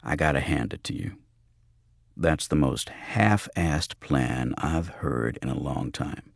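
A man voicing a glum, gruff, sarcastic club owner in an audiobook thriller, curt and grumbling. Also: a bass voice, takes it slowly, no room sound, clean studio sound, voice pushed down, heavily acted. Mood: glum sarcasm.

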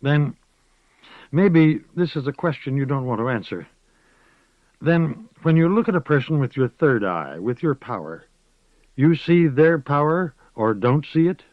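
A man's voice: a little high, animated, a little slow, dry recording, pitched above the performer's own voice. A man reading an audiobook, voicing a composed woman who asks cautious, curious questions. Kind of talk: audiobook character voice. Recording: dull, dry lo-fi recording.

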